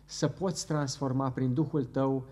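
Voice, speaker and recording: A middle-aged man leading a closing church prayer at a pulpit microphone, earnest and fervent, pausing now and then. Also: mid-range voice, fairly even intonation, a little mellow. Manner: earnest, fervent, halting at times. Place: church hall with wood paneling.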